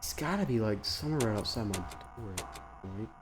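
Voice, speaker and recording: A man's voice: lowish voice, lively intonation, fairly quick, over music. Congested young man gaming nervously. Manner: nervous rambling, panicky outbursts. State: congested from a cold, coughing. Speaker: gaming youtuber. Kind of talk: let's play commentary.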